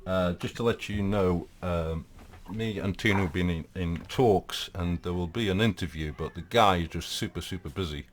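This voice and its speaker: A man's voice: low-pitched, moderate pace, few pauses, a little hiss or hum. A man from Portugal with a Portuguese accent, hosting a casual live talk in a rambling way, with many fillers and restarts. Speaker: host.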